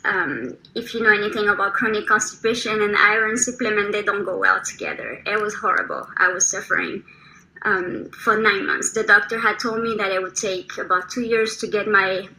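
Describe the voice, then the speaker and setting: A woman's voice: medium pitch, pushed and bright, slight background noise, rough recording. A woman in her thirties from France with a French accent, earnestly telling her own health story with frequent ums and small restarts. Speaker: testimonial speaker. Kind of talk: personal testimonial.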